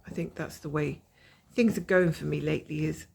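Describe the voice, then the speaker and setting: A woman with a croaky voice from a cold, chatting casually and rambling as she shows her cross-stitch progress from home. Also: low voice, fairly even intonation, brisk, a little mellow. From Scotland. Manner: rambling, chatty, self-mocking.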